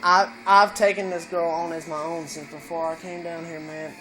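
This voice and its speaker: A man's voice: on the high side, flat delivery, normal speed, rough recording. A man vlogging at home, a bit drunk and stoned, telling a long profane rambling story. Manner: profane, meandering, tipsy storytelling. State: tipsy and stoned. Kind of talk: rambling casual chat. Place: at home indoors. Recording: music playing in the room.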